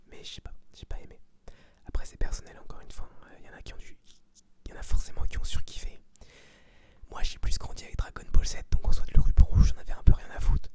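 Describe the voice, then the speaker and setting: An eighteen-year-old man whispering a casual, opinionated ASMR review in slangy, crude, informal language. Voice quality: breathy unvoiced whisper. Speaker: ASMR video creator giving a film review.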